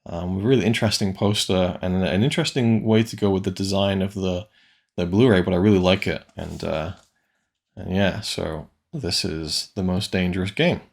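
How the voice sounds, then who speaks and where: A man's voice: low-pitched, fairly even intonation, normal speed, gravelly. A man from Wales giving a casual, rambling film review, full of hesitant restarts.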